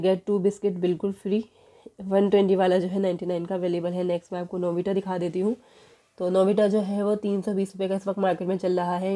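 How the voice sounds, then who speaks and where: A woman, walking through supermarket sale prices in a casual vlog, filming a poster on her phone in a store. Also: medium pitch. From Pakistan. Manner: steady price listing with filler "jo hai". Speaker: shopping vlogger. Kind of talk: casual product walkthrough. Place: indoors in a supermarket.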